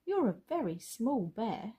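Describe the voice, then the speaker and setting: A woman's voice: fairly high pitch, big pitch swings, unhurried, warm tone, husky, exaggerated voice. A woman voicing a kind-hearted, decisive mother in a children's story, caring and full of exclamation. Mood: surprised, curious. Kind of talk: children's storybook read aloud.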